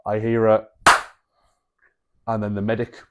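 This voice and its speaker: A man's voice: on the low side, completely flat delivery, measured pace, dull audio, heavy background noise. A man co-hosting a podcast, telling stories with casual, sweary banter. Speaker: podcast co-host.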